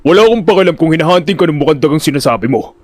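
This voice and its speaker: A man voicing a gruff, threatening loan shark boss in a Filipino audio drama, barking his demands. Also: fairly high pitch, lively intonation, very fast, natural voice. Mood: angry, menacing.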